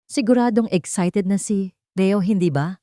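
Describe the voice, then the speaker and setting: A woman's voice: medium pitch, normal speed, dark tone, dry recording, studio quality, pitched above the performer's own voice, natural voice. Woman acting a haughty, sweetly venomous rich mother. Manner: sweet but cutting, condescending.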